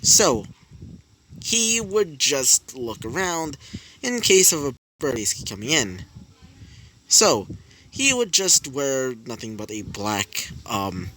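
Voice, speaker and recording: A man narrating an improvised fan story in a halting way, with many fillers and repeated speech tags. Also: medium pitch, very animated, pushed and bright, a little hiss or hum, poor audio.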